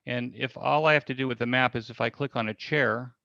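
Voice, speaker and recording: A man casually explaining and demonstrating something as an interview guest on a video call, in a halting way with repeated phrases. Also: medium pitch, fairly even intonation, gravelly, bright, forceful tone, no echo. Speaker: event organizer guest demonstrating a platform. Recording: slightly dull, band-limited audio.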